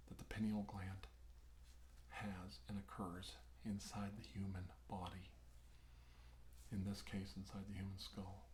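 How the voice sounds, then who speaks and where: In a roomy, echoing space with some background noise, a soft-spoken doctor played by a man gives an ASMR examination. He talks very slowly and deliberately in halting phrases, often slipping into a whisper. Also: fairly low pitch. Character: unhurried doctor examining an abductee, explaining alien plans.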